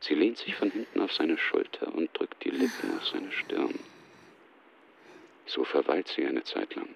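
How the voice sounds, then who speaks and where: An actor as a sober scene narrator in a German radio drama, matter-of-fact and terse, with a muffled, radio-like sound. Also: male voice, low-pitched, animated, a little slow, vocal fry, a little hiss or hum, full character voice. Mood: detached. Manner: matter-of-fact, terse present-tense delivery.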